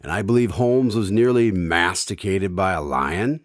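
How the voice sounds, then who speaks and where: An actor playing a dry, skeptical listener in a conversational radio drama, prompting and doubting the storyteller. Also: male voice, deep voice, normal speed, no echo, close to an everyday voice. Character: Craig, dry, skeptical male listener to tales.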